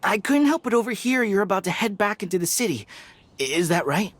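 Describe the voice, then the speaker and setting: A woman's voice: mid-range voice, expressive, fairly quick, dry recording, close to an everyday voice. A woman acting a courteous, pleading festival vendor in a video game. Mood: worried. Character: polite, anxious festival fruit vendor woman.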